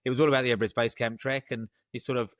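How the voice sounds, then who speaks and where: A man's voice: medium pitch, flat delivery, rapid-fire, no echo, clean studio sound. A middle-aged man hosting a casual interview podcast, enthusiastic and a little rambling, often restarting his sentences. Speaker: podcast host.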